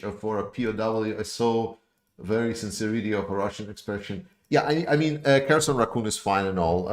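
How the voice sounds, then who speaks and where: A man from Latvia hosting a casual live Q&A, reading out viewer questions and answering them loosely, with frequent fillers and restarts. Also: lowish voice. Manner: casual, with many fillers, restarts and stammered repeats. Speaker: YouTube channel host.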